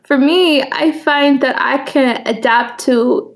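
A young woman with a high voice that often drops into creak. Her first languages are Swahili and Kikuyu, and she speaks in an informal, chatty way, as a guest in a personal conversation. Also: slow. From United States, raised in Kansas after early childhood in East Africa. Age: young adult. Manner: chatty, informal, laughs often while talking. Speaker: interview guest, photographer.

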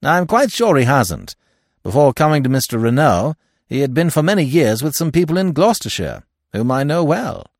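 Man doing impetuous secretary, emphatic, raised pitch, lively. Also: normal speed, no echo, studio quality. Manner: impulsive, emphatic. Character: impetuous, loyal English male secretary.

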